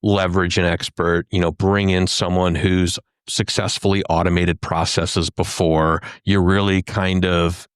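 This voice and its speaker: Low, clear-voiced man on a panel. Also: level tone, measured pace, few pauses, no room sound, studio quality. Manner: explanatory, often checks with "right?". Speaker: podcast panelist. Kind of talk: casual technical discussion.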